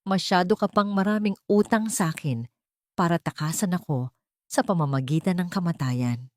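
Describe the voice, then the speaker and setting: A woman narrator plays a resolute woman doctor in an audio story. The voice is low and dry, with a stern authority. Every phrase is cold, bitter and cutting. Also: normal speed, a little mellow, no echo, lightly acted.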